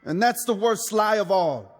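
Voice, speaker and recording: Radio drama a male rebel weary of bloodshed, bitter and brooding, rough voice in heavy reverb. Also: high-pitched, expressive, soft background music. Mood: agitated. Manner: brooding, sardonic, deliberate emphasis. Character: conscience-stricken male rebel officer, weary of killing, bitterly sardonic.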